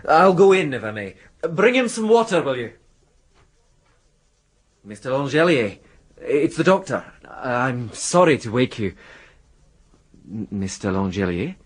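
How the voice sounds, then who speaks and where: An actor playing a brisk but gentle-mannered doctor on a house call in a period radio drama, with a husky voice. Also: male voice, medium pitch, sing-song. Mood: businesslike, grave.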